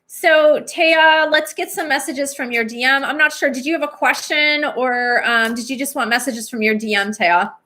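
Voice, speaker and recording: A woman in her forties hosting a live Q&A from a small room, chatty and animated as she answers viewers, her voice a bit worn. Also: sits high, moderate pace, hardly any pauses. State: losing her voice. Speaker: livestream host and twin flame reader.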